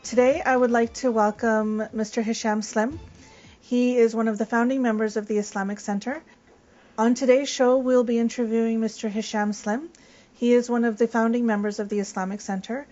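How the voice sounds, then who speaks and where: A woman's voice: fairly high pitch, steady tone, normal speed, a little mellow, faint music behind. A woman co-hosting an interview show, asking questions in a polite, slightly scripted way. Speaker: co-host. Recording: muffled lo-fi recording.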